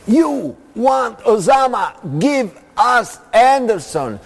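A man's voice: very high voice, very animated, very slow, gravelly, husky, raised voice. A man rhythmically chanting a repeated protest slogan. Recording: long reverberant hall echo.

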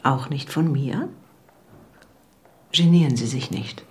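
A woman's voice: very deep voice, slow pace, darkish tone. An actress playing an elegant, self-possessed career woman in a radio drama, direct, composed and formal. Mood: composed, probing.

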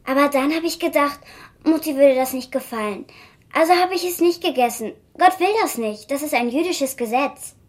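A woman's voice: high-pitched, moderate pace, lightly acted. An actress playing a nine-year-old refugee girl in a German radio drama, youthful and earnest, sad and pleading.

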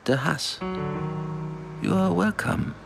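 A friendly local gentleman in a radio drama. His medium-pitched voice moves up and down animatedly with some gravel in it, polite and helpful, over faint music. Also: animated, slow, exaggerated voice. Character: friendly, courteous local Dutch gentleman helping a stranger.

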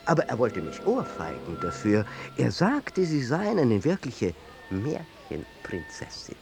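An actor playing a worldly, teasing older gentleman in a theatrical audio drama, with an ironic manner. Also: mid-range voice, huge pitch swings, a little slow, vocal fry, music in the background, exaggerated voice.

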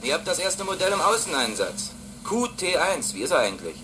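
A man's voice: on the high side, very animated, a little fast. An actor playing a cautious male ground station radio operator in a radio play, speaking in a businesslike, procedural way. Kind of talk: German radio play acting. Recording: noisy radio link in drama.

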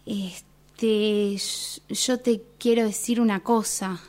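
A woman playing a timid, apologetic daughter in her late thirties, with an Argentine accent and halting speech, in a radio theatre exercise. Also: mid-range voice, steady tone, mellow. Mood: nervous, hesitant. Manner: very halting, tentative. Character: timid, apologetic thirty-eight-year-old daughter still at home.

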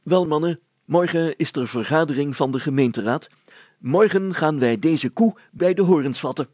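A man's voice: fairly high pitch, moderate pace, a little mellow, dry recording, voice pushed up, lightly acted. A man voicing a pompous, blustering village mayor from a storybook, speaking in grandiose, declamatory style. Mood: decisive. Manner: grandiose, declamatory, easily indignant. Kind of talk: storybook character voice.

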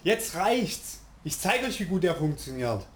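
A man's voice: high-pitched, very animated, unhurried. A man playing a strict, irritable middle-aged father in a radio play. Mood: indignant. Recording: roomy sound with clear noise.

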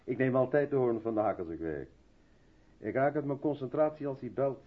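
Actor as brusque, reluctant man, husky and animated. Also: medium pitch, fairly quick, narrow, tinny audio, natural voice. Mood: flustered, apologetic. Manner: brusque, hesitant. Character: brusque, reluctant mixed-race South African man, absorbed in work. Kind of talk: 1970s Dutch radio drama acting.

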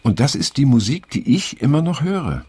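An actor playing a weary, reflective middle-aged man in naturalistic radio drama, speaking haltingly and pensively with a gravelly, husky voice. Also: mid-range voice, very animated, darkish tone, dry recording. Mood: pensive, wistful. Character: weary middle-aged male survivor of a hippie circle, reflective.

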